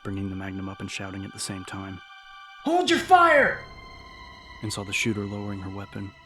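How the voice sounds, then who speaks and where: Deep, suspenseful male audiobook narrator. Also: gravelly. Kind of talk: dramatic scripted fiction narration.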